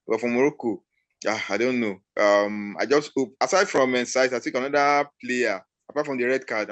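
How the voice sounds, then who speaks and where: A man giving casual football analysis in a halting way, often repeating his phrases. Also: medium pitch, no echo. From Nigeria. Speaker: football pundit.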